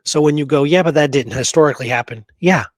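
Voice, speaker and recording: A man's voice: on the high side, quick pace, no echo. Man in dry, close-sounding emphatic chat. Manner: emphatic, often checks for agreement with "right?". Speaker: co-host of a history talk show. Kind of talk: casual chat about Western history and films.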